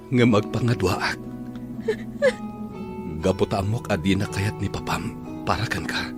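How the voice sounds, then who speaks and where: An actor with an Ilocano accent playing a remorseful, devoted businessman in an emotional radio drama, earnest and pleading. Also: fairly low pitch. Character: remorseful, devoted businessman pleading with his long-lost love. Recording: radio drama with loud music bed.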